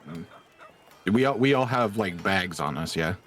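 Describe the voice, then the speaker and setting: A man's voice: low-pitched, animated, normal speed, a bit bright, faint music behind. A man chatting casually as a player in a tabletop role-playing game.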